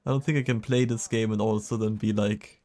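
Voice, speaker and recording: A man's voice: on the low side, steady tone, a little fast, darkish tone. A man giving casual live gameplay commentary as a streamer, with joking, sarcastic asides. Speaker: game streamer.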